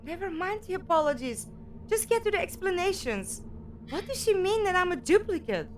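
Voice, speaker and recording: A woman acts out a young female companion for an audio drama, wounded and defiant. Her voice is high and very animated, full of bitter questioning. Faint noise sits behind it. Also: moderate pace. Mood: demanding.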